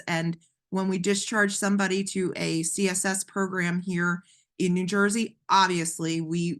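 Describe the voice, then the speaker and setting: A woman gives a training webinar over a call, explaining things patiently in a somewhat low voice. She is a bit hoarse from losing her voice. Her talk is loose and conversational, full of ums and restarted phrases. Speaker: webinar presenter.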